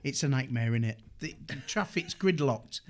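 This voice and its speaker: A man's voice: medium pitch, lively intonation, normal speed, darkish tone. A man co-hosting a podcast, laid-back and colloquial, stumbling over the starts of his sentences. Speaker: podcast co-host. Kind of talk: casual chat.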